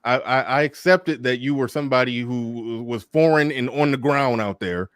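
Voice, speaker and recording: A man's voice: mid-range voice, moderate pace, smooth, dry recording, studio quality. A man hosting a live call-in debate show, talking casually with lots of stammered restarts. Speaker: host.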